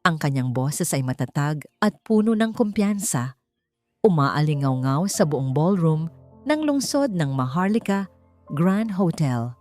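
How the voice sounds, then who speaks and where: A woman narrating an audiobook romance story, speaking in an expressive storytelling manner that climbs higher at dramatic moments. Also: a little slow, no echo. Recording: faint background music.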